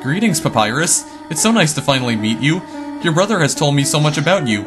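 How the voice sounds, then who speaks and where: A man voicing a gentle, motherly goat-woman queen who speaks softly and politely, with music in the background. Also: a little high, animated, quick pace, natural voice. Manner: soft, polite, caring.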